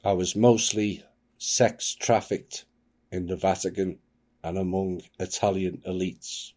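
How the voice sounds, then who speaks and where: Grave male host, very low voice, unhurried solemn reading. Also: steady tone, darkish tone. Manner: grave, measured delivery. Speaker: channel host. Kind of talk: solemn reading of a submitted account.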